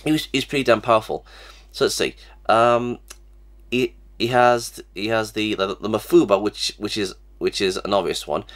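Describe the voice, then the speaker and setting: A man's voice: lowish voice, normal speed, a bit bright. Sniffly stammering young man with a cold. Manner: stammering, many restarts and fillers. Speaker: reaction channel host. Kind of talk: casual reaction vlog. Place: small cluttered room indoors.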